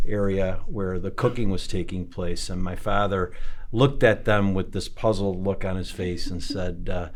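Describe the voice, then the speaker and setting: A man's voice: deep voice, fairly even intonation, normal speed. A man telling a funny family anecdote in an interview, with many fillers. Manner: amused storytelling with fillers. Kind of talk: casual anecdote.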